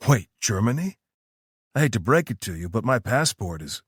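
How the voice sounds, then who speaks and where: A man's voice: lowish voice, very animated, moderate pace, husky, no echo, studio quality. A man reading an audiobook voices a blunt, skeptical ex-military man.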